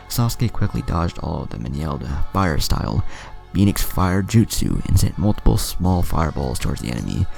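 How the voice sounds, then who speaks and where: Very deep, gravelly male story narrator. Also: warm tone. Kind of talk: narrating anime fan fiction.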